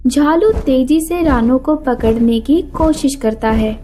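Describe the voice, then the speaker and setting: A woman storyteller delivers scripted narration for children. Her voice is high and her pace unhurried. Background music accompanies her. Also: warm tone. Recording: music behind the voice.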